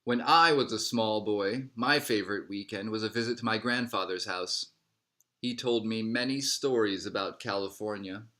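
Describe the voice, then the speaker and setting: Low-voiced man reading a storybook aloud. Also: moderate pace, pushed and bright. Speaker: storybook reader. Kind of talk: scripted read-aloud narration of a picture book.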